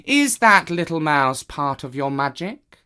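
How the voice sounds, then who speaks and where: A storyteller voicing a sly, proud, aloof cat in a children's tale, with a drawn-out, self-satisfied delivery. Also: medium pitch, sing-song, sharp tone, clear, resonant tone, voice pushed up.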